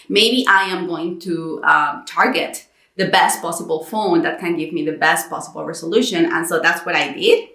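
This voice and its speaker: A thirty-year-old woman from Mexico telling her personal story in a chatty vlog, acting out her own thoughts as she goes. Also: fairly low pitch. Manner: animated retelling with quoted inner dialogue. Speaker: YouTube content creator. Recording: roomy echo.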